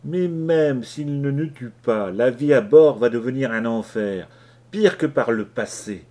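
A man's voice: medium pitch, unhurried, warm tone, lightly acted. A man voicing a worried sailor who warns his shipmates gloomily, in an audiobook reading. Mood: uneasy.

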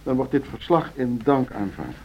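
A man's voice: mid-range voice, big pitch swings, moderate pace, close to an everyday voice. An actor playing a genial board chairman in a radio drama, running a meeting in a courteous, formal, procedural way. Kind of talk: naturalistic radio drama dialogue. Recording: dull, noisy old radio play recording.

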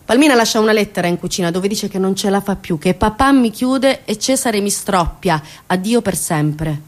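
A woman's voice: medium pitch, lively intonation, moderate pace. Female stage storyteller. Dramatic, impassioned, indignant delivery with big melodic swings and weighted repetition. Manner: impassioned, emphatic, repeats phrases for weight; indignant rhetorical questions to listeners. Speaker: stage actress performing a monologue. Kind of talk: dramatic theatrical storytelling monologue.

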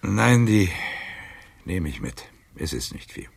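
An old, embittered adventurer in a literary radio play. The voice is extremely low and badly husky, full of proud grievance. He declaims theatrically, swinging widely from breathy muttering to outcries. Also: sing-song, slow pace, full character voice. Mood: defiant. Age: older. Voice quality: gravelly, husky, breaking into whispers. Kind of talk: literary radio drama acting in verse.

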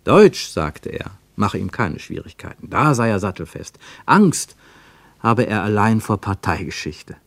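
Low, expressive male narrator. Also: a little slow, creaky, dry recording. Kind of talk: scripted literary narration.

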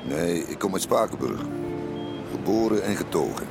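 An actor playing a folksy, proud village traveller, chatting unhurriedly in a radio drama. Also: male voice, low voice, expressive, normal speed, gravelly, over loud music. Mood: chatty, proud.

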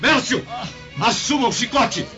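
An actor playing a cruel, commanding Roman guard chief in a radio drama, scornful and theatrical. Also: male voice, very high-pitched, animated, a little slow, pushed and bright, exaggerated voice. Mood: furious. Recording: dull recording with music behind. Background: music.

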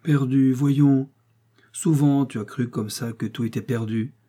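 A man's voice: mid-range voice, lively intonation, moderate pace, warm tone, breathy edge. A man voicing an alarmed, loving wife. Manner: pleading, tender. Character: anxious middle-aged wife, loving and alarmed.

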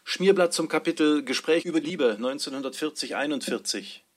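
A man's voice: a little high, normal speed. A man announcing section headings in short scripted phrases for a radio play.

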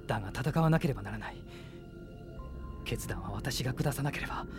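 An actor playing a sincere, resolute young noble prince who leads a rebel army in a Japanese radio drama. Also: male voice, mid-range voice, animated, a little fast, music in the background, natural voice. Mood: troubled, pensive.

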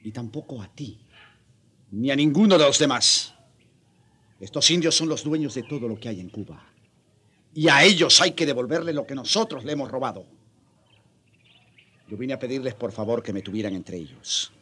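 A man's voice: medium pitch, lively intonation, moderate pace, long pauses, pushed and bright, breathy edge. An actor playing an earnest, defiant Spanish priest who has given up his riches, in a radio drama. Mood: earnest conviction. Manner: earnest, unrepentant.

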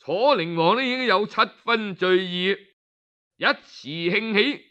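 A man with a Cantonese accent telling a historical tale in an expressive, colloquial oral storytelling style. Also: high voice, a little slow, smooth, lo-fi sound. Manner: expressive, colloquial, acts out dialogue. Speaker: storyteller narrator.

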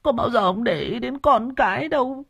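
A storyteller voicing a loud, nagging, middle-aged rich wife who screeches and wails, in a dry close recording. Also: medium pitch, expressive, normal speed, vocal fry, slightly rough, pitched above the performer's own voice, exaggerated voice. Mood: shrill nagging.

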